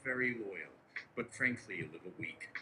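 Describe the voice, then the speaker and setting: A man voicing a calm, clinical assessment machine that speaks in measured, scripted phrases. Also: sits low, voice pushed down. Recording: noisy ambient background.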